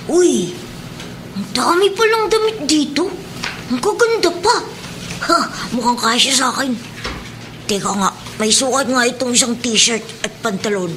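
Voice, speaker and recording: A woman's voice: fairly high pitch, expressive, measured pace, noisy background. A woman playing a polite, eager young boy in a Filipino radio drama, deferential and prone to stammering when flustered. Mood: curious, delighted. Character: young boy Mark, poor former snatcher, meek and eager.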